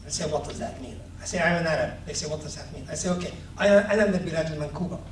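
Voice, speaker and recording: A man from Palestine giving a talk about his book, reflective and hesitant with many ums, growing animated as he tells anecdotes. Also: on the high side, racing. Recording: echoey room, distant mic, faint noise.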